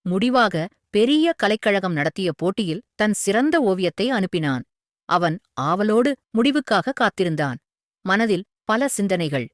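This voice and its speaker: A woman reading a scripted moral story for children as a storyteller, with an expressive, earnest delivery. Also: mid-range voice, no room sound, clean studio sound.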